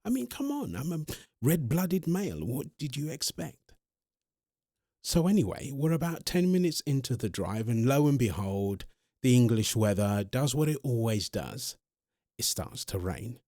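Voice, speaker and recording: A man's voice: medium pitch, a little slow, no room sound, clean studio sound. A man playing a chatty, smitten immortal vampire boyfriend, telling his story conversationally in a scripted audio roleplay. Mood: animated storytelling. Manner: conversational, animated, addressing an unseen listener.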